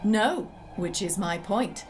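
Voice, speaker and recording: A woman playing a wise, cryptic sorceress mentor in a theatrical drama, measured, poetic and instructive. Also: mid-range voice, lively intonation. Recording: music under the voice.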